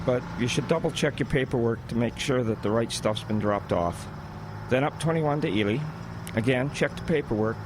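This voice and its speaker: A practical, easygoing boss acted by a man in a radio drama, speaking at a medium pitch. His manner is measured and matter-of-fact, like naturalistic working talk. Clear vehicle and outdoor noise runs behind him. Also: close to an everyday voice. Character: easygoing, practical small-business boss of delivery drivers. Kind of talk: audio drama acting, naturalistic dialogue.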